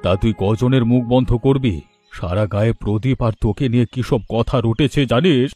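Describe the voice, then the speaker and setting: A man's voice: mid-range voice, fairly quick, mellow, no echo, close to an everyday voice. A man playing an anxious, self-pitying widowed father in a melodramatic cartoon, with a plaintive, lamenting manner. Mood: worried, weary. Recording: music behind the voice.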